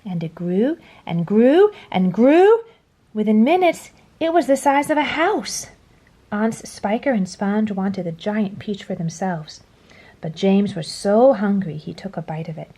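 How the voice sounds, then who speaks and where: A woman reading a children's story aloud in a dramatic storytelling style, dropping to a hush at phrase endings. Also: medium pitch, lively intonation, a little slow. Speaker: storybook reader. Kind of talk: expressive children's read-aloud narration.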